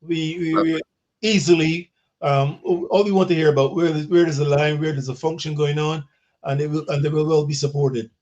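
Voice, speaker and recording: Middle-aged man, slightly high pitch, conversational guest, halting, repeats phrases. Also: fairly even intonation, a little mellow, highs cut off. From Barbados. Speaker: diaspora guest living in England. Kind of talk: conversational interview answers. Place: small office, on a video call. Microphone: video call, device in front.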